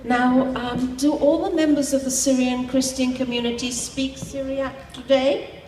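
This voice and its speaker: A woman interviewing a guest before an audience, polite and measured, with frequent ums. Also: high voice, fairly even intonation, darkish tone, reverberant, big-room sound, slight background noise.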